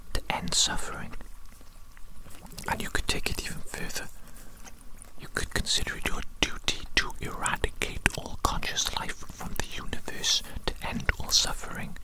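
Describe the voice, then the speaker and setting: An ASMR performer playing an evil mad-scientist doctor who muses slowly and dotingly in a breathy whisper, repeating phrases softly. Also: lightly acted, rough recording. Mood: musing, troubled. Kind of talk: whispered ASMR roleplay monologue.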